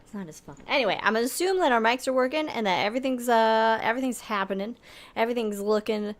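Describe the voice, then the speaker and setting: A woman's voice: a little high, quick pace. A woman co-hosting a podcast in casual chat, rambling and laughing easily. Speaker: podcast co-host.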